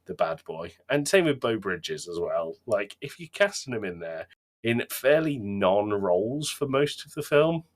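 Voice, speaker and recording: Lowish voiced guy on a podcast, chatty, opinionated, really makes his points. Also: very animated, a little slow. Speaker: podcast co-host. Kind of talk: casual chat.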